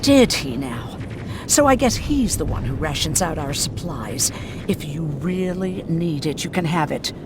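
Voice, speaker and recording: Casting: curt, sarcastic survivor medic in a game, slightly low voice, clear rumble of noise behind. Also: female voice, lively intonation, a little slow. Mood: sardonic, testy. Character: prickly, sardonic female medic guarding scarce supplies. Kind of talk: video game dramatic acting.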